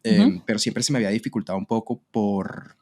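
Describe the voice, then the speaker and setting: A man in his thirties from Colombia, speaking as a podcast guest. His voice is fairly low, and the sound is dry and close, with no room around it. He talks in a relaxed way, often pausing on fillers and repeating words. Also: no echo. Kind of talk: conversational interview.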